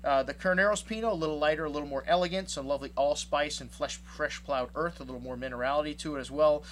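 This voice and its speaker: Casual wine shop owner, a man with a slightly high voice, rambling tasting notes over a faint hum. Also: quick pace, a bit bright. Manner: chatty, rambling with many fillers.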